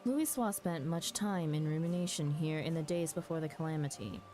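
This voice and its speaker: A woman with a fairly low, soft-edged and mellow voice hosts a casual game stream. She talks in a chatty, halting way, dropping muttered asides as she goes. Music plays clearly behind her. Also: expressive. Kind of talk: casual live game-stream commentary, reading dialogue aloud. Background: background music.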